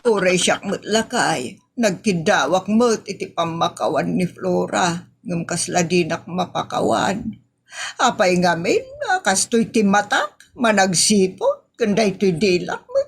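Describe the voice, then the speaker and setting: A woman's voice: fairly low pitch, animated, a little slow, vocal fry, husky, full character voice. A woman playing a cranky, reproachful old wife with an Ilocano accent in a comedy audio drama, complaining plaintively. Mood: bitter, pleading.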